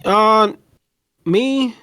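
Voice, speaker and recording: A young man with a slightly higher voice speaks hesitantly, then slips into jokes. The sound is dry and close, with faint music playing under him. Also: very slow, bright, forceful tone, smooth, dry recording. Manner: hesitant, joking. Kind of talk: casual gaming podcast chat.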